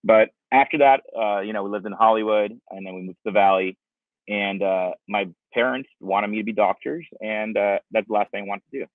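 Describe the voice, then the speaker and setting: A man's voice: on the low side, a little fast, dry recording. A man in his forties, born in Russia, answering interview questions in a rambling way full of restarts, speaking over a video call from an outdoor patio. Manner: rambling, with many restarts and fillers. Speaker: company founder, guest. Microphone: video call, wireless earbuds. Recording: dry, thin phone-like video call audio.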